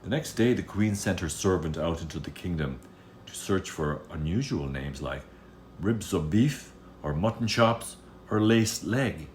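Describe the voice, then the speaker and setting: Low-voiced man reading a fairy tale. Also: animated, unhurried, slight background noise. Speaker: storyteller. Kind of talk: read-aloud fairy-tale narration.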